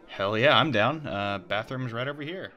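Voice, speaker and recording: A man playing a cocky, irritable young party host in an ASMR roleplay, talking casually and haltingly. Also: medium pitch, pushed and bright, slight background noise, natural voice. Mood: irritated, brusque. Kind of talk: ASMR roleplay audio drama.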